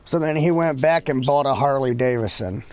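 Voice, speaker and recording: A man's voice: mid-range voice, moderate pace, darkish tone. A man in his thirties chatting casually while streaming a game, rambling, profane and exasperated. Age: 30s. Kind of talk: casual chat.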